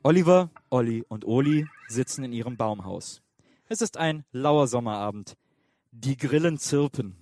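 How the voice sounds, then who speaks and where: A man's voice: medium pitch, animated, moderate pace, mellow, slight background noise. A man narrating a comic audio play in a mock-serious, scripted style.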